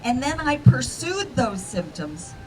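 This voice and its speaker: A woman's voice: high-pitched, very animated, takes it slowly, a little mellow, faint music behind. A woman speaking from personal experience as an advocate. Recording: distant mic, faint background noise.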